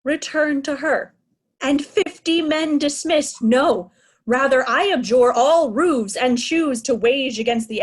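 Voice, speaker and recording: A woman's voice: on the high side, slightly rough. A woman playing a proud aging king, raging at ungrateful daughters in a declamatory Shakespearean style. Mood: defiant. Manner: declamatory, deliberate, anguished. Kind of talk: Shakespearean reader's theatre acting.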